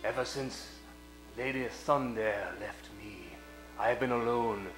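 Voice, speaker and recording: A man's voice: medium pitch, fairly even intonation, unhurried, a bit bright, lightly acted. A man acting a scheming, lovelorn foreign villain in a comedic radio play, with a pompous, theatrical manner and a foreign accent. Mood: melodramatic. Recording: roomy live stage sound, faint music.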